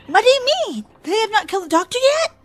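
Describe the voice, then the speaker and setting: A woman playing a fiery, headstrong young adventurer in an audio drama, speaking forcefully in formal phrasing. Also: extremely high pitch, very animated, fast talker, over music. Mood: defiant.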